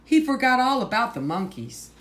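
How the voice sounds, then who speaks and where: A woman reading a picture book aloud to children, phrasing deliberately and stressing words for drama. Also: a little high, very animated, fairly bright tone, a little hiss or hum. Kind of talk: slow, expressive picture-book read-aloud.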